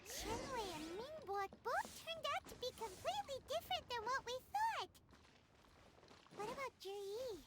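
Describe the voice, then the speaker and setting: An actress voicing a tiny, eager female companion character in a studio game, with a very high, bouncy voice. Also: big pitch swings, normal speed, noisy background. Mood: curious. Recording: game audio with loud music.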